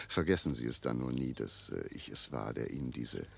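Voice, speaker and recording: An actor playing a condescending, self-controlled baron with a calculating streak and affected politeness in a radio drama. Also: male voice, very deep voice, animated, moderate pace, vocal fry, a little hiss or hum, full character voice. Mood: smooth, pointed.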